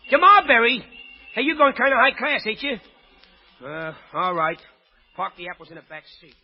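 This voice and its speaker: A man's voice: extremely high pitch, sing-song, moderate pace, faint music behind, full character voice. An actor playing a cheeky male chauffeur in an old radio drama. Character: cheeky male driver for a gambler. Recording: muffled, reverberant old radio recording.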